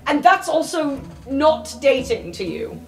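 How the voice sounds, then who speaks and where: A woman acts out an earnest, awkward student in a roleplay podcast. The voice is fairly high and very animated, hesitant and a little formal, with music behind it. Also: measured pace, heavily acted. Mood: exasperated. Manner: hesitant, polite, slightly formal.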